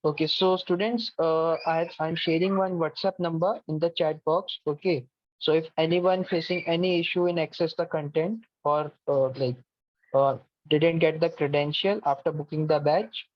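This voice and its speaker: A man's voice: a little high, steady tone, moderate pace, dry recording. A man walks students through an online course platform, patiently giving step-by-step instructions and often saying "okay". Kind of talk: online class platform walkthrough. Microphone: video call mic. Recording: dull lo-fi video call, dry.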